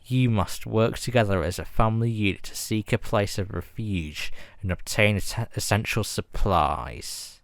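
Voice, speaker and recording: A man reading a scripted emergency broadcast announcement in a formal, measured and grave manner. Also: on the low side, gravelly, no room sound, clean studio sound.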